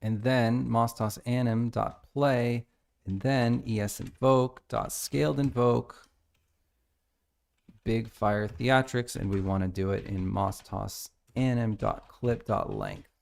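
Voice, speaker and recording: A man's voice: medium pitch, takes it slowly, creaky, a little mellow. An American man streaming his game development live, thinking aloud and reading lines of code as he types. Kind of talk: casual live coding stream.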